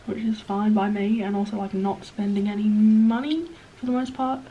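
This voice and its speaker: A woman in her early twenties with an Australian accent, talking casually to camera with a stuffed-up, nasal voice, rambling and self-deprecating, sometimes dropping to a soft whisper. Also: mid-range voice, steady tone, normal speed, dull audio, slight background noise. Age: 20s. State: sniffly, stuffed-up nose. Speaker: vlogger.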